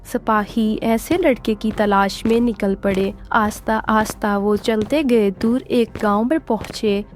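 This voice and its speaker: A woman tells a scripted cartoon story. Her pace is slow and her pitch is average, with faint music behind the narration. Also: darkish tone.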